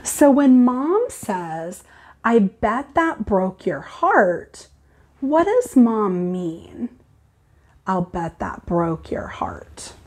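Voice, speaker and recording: A woman teacher reading aloud and explaining to children in an expressive, deliberate, instructive way. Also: medium pitch.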